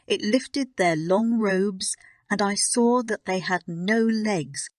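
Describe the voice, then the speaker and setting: A man's voice: high voice, slow pace, no echo, studio quality, pitched above the performer's own voice. A man voicing a watchful, grave old woman in a horror story, explaining things in a serious manner. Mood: ominous. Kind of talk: horror story character dialogue.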